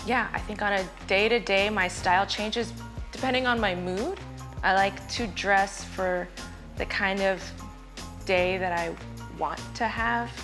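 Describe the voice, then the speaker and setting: Reflective late-thirties woman, mid pitch, clear music under the voice. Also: measured pace. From Southern California. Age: late 30s. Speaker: interviewee. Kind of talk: candid sit-down interview. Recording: music bed behind voice.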